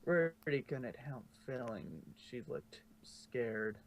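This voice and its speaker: A man's voice: medium pitch, very animated, takes it slowly, slight background noise. A man reading scripted fan fiction narration, sometimes stumbling and correcting himself.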